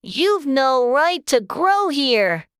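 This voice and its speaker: A narrator voicing a sleepy, sulky little Dormouse in a storybook, complaining in a drawn-out way. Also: sits high, very animated, a bit bright, no room sound, studio quality, voice pushed up, heavily acted. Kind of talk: dramatized storybook character voice.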